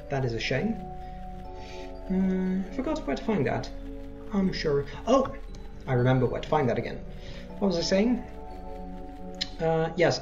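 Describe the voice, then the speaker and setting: Casual let's play host, mid-pitched, rambling and self-deprecating, slightly muffled lo-fi mic, music behind. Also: a little mellow. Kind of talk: casual game commentary.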